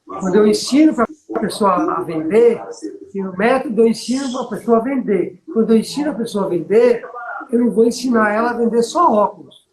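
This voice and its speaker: A man's voice: high voice, brisk, a crowd in the background. Northeastern Brazilian man coaching in echoey room. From Bahia, Brazil. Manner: storytelling, asks listener for agreement. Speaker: business mentor. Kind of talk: conversational business coaching.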